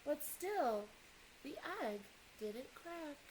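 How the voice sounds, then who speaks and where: A woman reading a children's picture book aloud in an expressive way, pausing to engage young listeners with questions and asides. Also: high-pitched, a little hiss or hum, low-quality recording.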